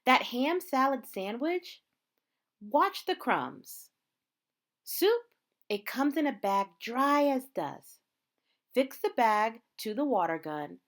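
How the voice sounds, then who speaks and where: A woman reading a children's picture book aloud, with measured, dramatic phrasing and drawn-out words. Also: fairly high pitch, very animated. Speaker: storybook reader.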